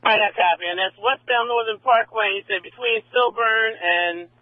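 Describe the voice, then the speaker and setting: A man's voice: high voice, moderate pace, no room sound. A man dispatching police units over a radio. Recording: dry, tinny police radio.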